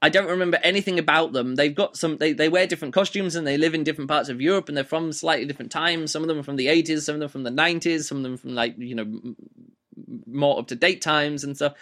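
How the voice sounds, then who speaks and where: A man with a slightly rough voice giving a casual, rambling spoken book review, with many fillers and restarts. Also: fairly high pitch, fast talker, bright, forceful tone.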